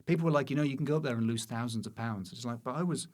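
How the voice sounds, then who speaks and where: A man's voice: medium pitch, very fast, darkish tone. A British man, a comedian appearing as a podcast guest, chatting casually in a wry, self-deprecating and slightly hesitant way. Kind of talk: casual interview conversation.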